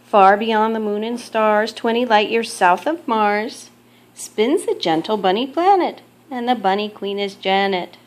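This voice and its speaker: Mom reading a kids picture book out loud, medium pitch womans voice, expressive measured storytelling. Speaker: mother reading a storybook aloud. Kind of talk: children's picture book read-aloud.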